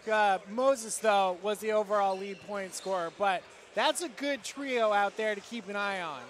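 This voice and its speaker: A man's voice: sits high, normal speed, a bit bright. An American man giving conversational basketball analysis as a co-commentator, with gym noise behind him. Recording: noisy gym ambience behind the voice.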